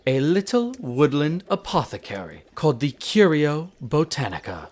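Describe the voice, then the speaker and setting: A man's voice: fairly high pitch, sing-song, moderate pace, a little mellow. A man playing a haughty, teasing elf archaeologist in a scripted audio roleplay, speaking pompously and precisely to the listener. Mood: haughty, explaining. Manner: pompous, precise, theatrical enunciation. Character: tsundere male elf archaeologist-alchemist, haughty, brisk, teasing scholar.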